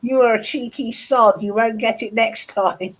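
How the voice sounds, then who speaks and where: A woman's voice: on the high side, fairly even intonation, unhurried, low-quality recording. A woman chatting casually, telling personal anecdotes and laughing along, heard over a video call mic. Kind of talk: casual chat.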